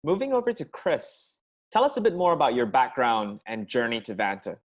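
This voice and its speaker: A man's voice: mid-range voice, expressive, normal speed, muffled recording. A man moderating a panel, politely thanking guests and moving to the next question. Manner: polite, smooth transitions.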